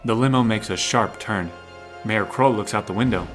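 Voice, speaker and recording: A man's voice: lowish voice, moderate pace. A man narrating a scripted audio drama in the present tense. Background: music.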